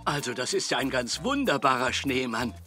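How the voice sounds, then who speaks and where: An older man voicing a kindly, playful grandfather zebra in a children's cartoon, gentle, musing and encouraging. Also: medium pitch, very animated, normal speed. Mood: kindly, puzzled. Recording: clear music bed behind.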